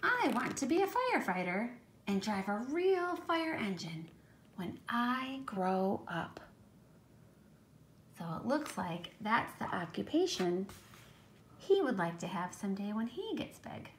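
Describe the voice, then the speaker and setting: A woman's voice: medium pitch, sing-song, measured pace. Woman reading aloud to children, noisy recording. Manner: child-directed, questioning, emphatic on read text. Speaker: teacher reading to young children. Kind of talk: read-aloud storytime with explanatory asides.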